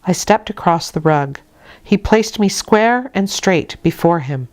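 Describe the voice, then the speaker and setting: A woman reading literary fiction aloud as an audiobook narrator, in a measured, expressive storytelling manner. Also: fairly low pitch.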